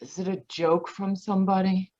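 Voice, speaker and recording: A woman's voice: mid-range voice, steady tone, moderate pace, a little mellow, natural voice. An actress playing a weary, sickly, resigned woman in 1940s radio drama style, listless and guarded, over muffled audio. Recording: muffled video-call audio.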